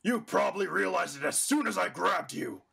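A man playing a boastful, menacing pirate villain in an anime fan dub, with a gravelly, growling, theatrical delivery. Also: high voice, expressive, normal speed, pushed and bright, heavily acted. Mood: gloating. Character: gleeful, boastful hulking pirate villain wielding darkness.

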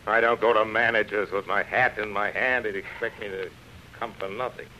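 A man's voice: on the low side, fairly even intonation, normal speed, sharp tone. An actor playing a vain, proud stage star down on his luck, self-important, in a 1930s radio drama. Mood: proud, indignant. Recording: muffled, hissy 1930s radio recording.

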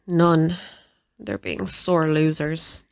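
An actress playing a cruel, gloating female villain in an audio drama, taunting with drawn-out emphasis. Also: low-pitched, expressive, gravelly, fairly bright tone, no room sound, studio quality, exaggerated voice. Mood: sullen menace.

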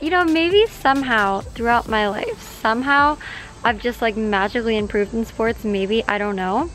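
High, slightly hoarse young woman vlogging. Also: fairly bright tone, poor audio. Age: young adult. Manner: giggly, filler-heavy, apologetic. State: slightly hoarse, losing her voice. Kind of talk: casual vlog talk to camera. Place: outdoor park playground. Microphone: handheld camera mic, sometimes far camera. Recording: outdoor camera audio under background music. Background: background music.

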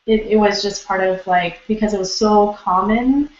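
A woman's voice: mid-range voice, normal speed, highs cut off. Reflective woman poet on an echoey video call. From Los Angeles. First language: Vietnamese. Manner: reflective, with many fillers and restarts. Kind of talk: reading Q&A over video call. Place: small room indoors. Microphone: laptop webcam on video call.

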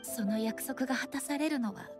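A woman voicing a solemn, composed female deity and ruler in a video game, speaking formally and calmly. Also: sits high, over music. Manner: formal, measured, calm. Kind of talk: Japanese anime-style game voice acting.

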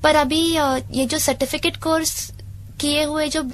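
A woman's voice: sits high, steady tone, measured pace. A woman answering questions as an interview guest in a conversational way.

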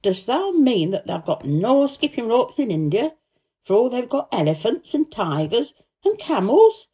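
A woman voicing a cheerful, warm-hearted young Yorkshire housemaid in a storybook, chatty and blunt in dialect. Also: a little high, big pitch swings, unhurried, husky, pitched above the performer's own voice, exaggerated voice. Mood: amazed, eager.

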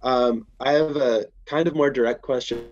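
Male audience questioner, average pitch, casual and tentative, dull lo-fi online meeting sound. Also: steady tone, normal speed, darkish tone. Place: indoors in a dim room on a video call. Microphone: video call. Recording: dull lo-fi video call audio.

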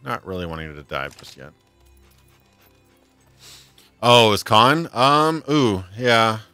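A man's voice: fairly low pitch, big pitch swings, a little slow, sharp tone, smooth. A man in his thirties casually chatting and laughing as he plays a game on a livestream, at a desk mic in a home room. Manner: chatty, laughs often, reads chat aloud. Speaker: livestream gamer. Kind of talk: casual let's-play chat. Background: faint game music.

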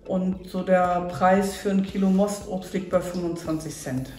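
A woman's voice: on the low side, steady tone, a little slow, dark tone, recorded from a distance. A middle-aged woman, a juice maker, explaining her work in a casual interview. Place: small tiled juice-pressing workroom indoors. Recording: echoey tiled room, off-mic, faint music.

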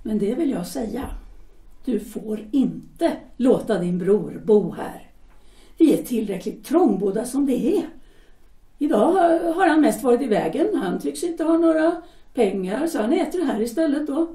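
A woman's voice: on the high side, lively intonation, warm tone, roomy sound, recorded from a distance, natural voice. An actress playing a firm, harried young wife and mother who speaks insistently. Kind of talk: audio drama acting.

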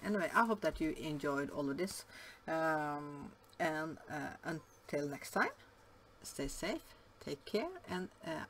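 Slow, hesitant woman with a Norwegian accent. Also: low-pitched, a bit bright, slight background noise. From Norway. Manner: hesitant, many fillers and restarts. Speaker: yarn vlogger. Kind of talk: casual show-and-tell vlog. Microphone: camera above the table, hands only.

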